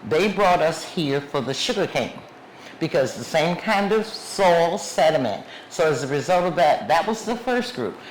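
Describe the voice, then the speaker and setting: A woman's voice: low voice, big pitch swings, normal speed. A woman giving a lecture as guest speaker in a museum, stressing points and listing places one by one. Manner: emphatic, lists places one by one. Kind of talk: public lecture with questions. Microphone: clip mic under a shawl. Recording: echoey room, faint background noise.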